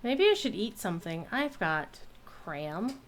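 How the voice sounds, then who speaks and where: A woman giving casual live commentary while streaming a game, playful and expressive, with some salty language. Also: fairly low pitch, unhurried.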